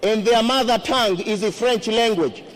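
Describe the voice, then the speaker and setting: A man with a Tanzanian accent, a native Swahili speaker, delivering a formal campaign speech in a deliberate, repetitive manner. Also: high-pitched. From Zanzibar, Tanzania. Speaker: member of parliament, candidate.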